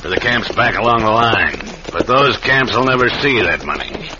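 An actor playing a scheming, bossy crooked railroad marshal and gang boss in an old-time radio western, talking in folksy outlaw style. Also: male voice, medium pitch, animated, moderate pace, lightly acted. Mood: scheming, commanding. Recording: very noisy, muffled old radio recording.

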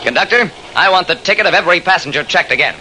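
An actor playing a brisk, methodical railroad detective who narrates his case in an emphatic old-time radio mystery style. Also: high voice, expressive. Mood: probing, brisk. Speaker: narrator and lead investigator. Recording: noisy old radio drama recording.

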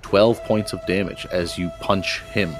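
A man's voice: deep voice, steady tone, over music. A man acting as game master, calling rolls and rulings in a tabletop game. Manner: rules-focused, quick to adjudicate.

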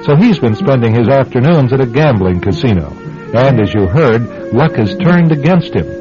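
Animated male radio narrator over music. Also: mid-range voice, moderate pace. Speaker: host and narrator. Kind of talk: scripted old-time radio drama narration. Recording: muffled radio sound with music behind.

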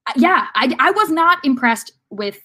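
A woman's voice: high voice, animated, moderate pace, sharp tone. Woman on a casual football podcast, kinda hesitant, rewording stuff as she analyzes. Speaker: sports podcast panelist.

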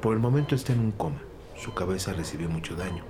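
A man's voice: deep voice, expressive, fast talker. A man playing a worried, somber middle-aged father in an audio drama. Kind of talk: Spanish-language audio drama dialogue. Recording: music clearly under the voice.

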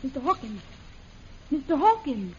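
Earnest, anxious young heroine, high voice, deferential, vintage lo-fi radio serial. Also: female voice, sing-song, normal speed, warm tone. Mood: confiding. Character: earnest, pleading young woman, loyal and fearful. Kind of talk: 1940s radio serial acting. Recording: muffled, faintly hissy old radio recording.

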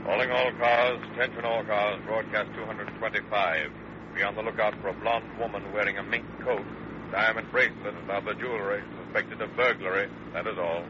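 Man as low-voiced police dispatcher, noisy recording. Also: steady tone, brisk, lightly acted. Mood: official. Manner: clipped, official delivery. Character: male police dispatcher, flat and official. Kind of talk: 1930s radio police bulletin. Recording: noisy, dull old radio recording.

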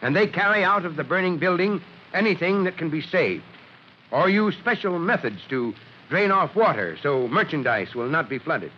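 An actor playing a gruff but kindly middle-aged fire chief in a 1940s radio drama, speaking emphatically. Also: male voice, on the high side, big pitch swings, normal speed. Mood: instructive. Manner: emphatic, oratorical. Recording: noisy, dull vintage radio recording.

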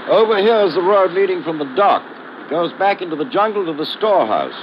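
Animated officer, noisy old radio. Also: male voice, high-pitched, a little fast, dull audio. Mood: easygoing. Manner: casual, clipped sentences. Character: easygoing colonial officer leading his lookout men. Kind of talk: 1950s radio drama acting.

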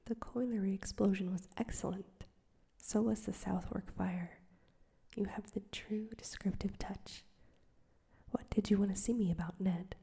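A woman voicing a crabbed old male newspaper editor, gruff but kindly, in a breathy whisper. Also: mid-range voice, fairly even intonation, unhurried, dark tone, heavily acted.

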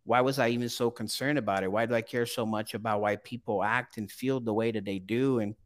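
A young man from Brazil, a counseling student, chatting as a guest in a casual interview, animated and laughing often. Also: lowish voice, brisk.

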